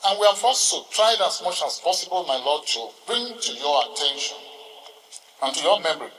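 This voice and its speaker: A man's voice: a little high, sing-song, fairly bright tone. Nigerian man orating in an echoey hall. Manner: deliberate, halting, oratorical. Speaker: senior lawyer addressing a court. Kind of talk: formal courtroom address. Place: large hall, ceremonial court session. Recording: echoey hall, distant microphone.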